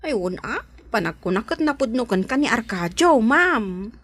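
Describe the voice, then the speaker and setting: Woman playing an excitable high-pitched confidante. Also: moderate pace. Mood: teasing, supportive. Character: excitable, supportive female confidante and helper. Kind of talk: audio drama acting.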